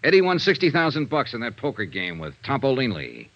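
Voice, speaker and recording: An actor playing a shrewd, cool-headed investigator in 1950s radio detective drama, with a brisk, hard-boiled, confident way of reasoning. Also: male voice, medium pitch, wildly swooping pitch. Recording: dull vintage radio recording.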